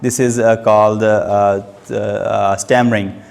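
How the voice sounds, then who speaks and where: Slow man who stammers, reverberant hall. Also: on the low side, flat delivery, vocal fry, darkish tone. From Pakistan. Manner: stammers, with frequent hesitations and repeated sounds. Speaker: club member giving a speech. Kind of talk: prepared personal speech.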